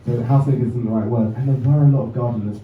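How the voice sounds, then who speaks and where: English comedian in an echoey club. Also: male voice, mid-range voice, steady tone, quick pace, a little hiss or hum. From England. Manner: dry, self-mocking delivery with asides. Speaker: stand-up comedian. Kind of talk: stand-up set. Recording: roomy, distant, slightly dull club recording. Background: audience laughter.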